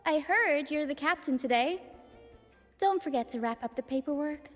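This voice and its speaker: A woman's voice: sits high, lively intonation, normal speed. An actress playing a cheerful, teasing young woman crewmate in a video game. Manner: teasing, bubbly. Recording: long hall reverb, faint music.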